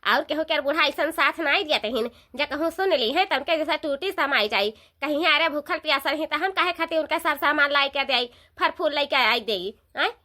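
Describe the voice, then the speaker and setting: A woman's voice: sits high, pushed and bright. A woman voicing a dutiful, chatty village daughter-in-law in a cartoon, with an eastern Uttar Pradesh accent and an emphatic, rambling delivery. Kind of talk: Hindi-belt cartoon dialogue.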